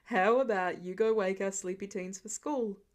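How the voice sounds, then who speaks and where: Weary, affectionate father for story audio, voiced by a woman: lowered pitch, dry, gently stern. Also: normal speed, close to an everyday voice. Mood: fond. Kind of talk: storybook-style character reading.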